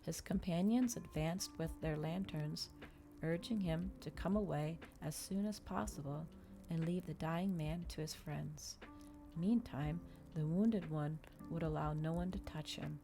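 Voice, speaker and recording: A woman reading a story aloud in ASMR style, soft-spoken and measured, as a streamer narrating a novel. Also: sits low, soft background music.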